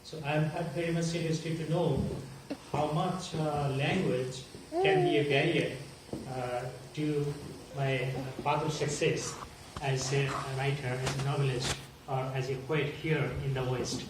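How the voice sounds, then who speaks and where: Bangladeshi-accented man in the audience, native Bengali speaker, halting, heard far off with heavy echo and noise. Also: a little high, lively intonation. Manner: halting, searching for words. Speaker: audience member. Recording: far off, very echoey, noisy.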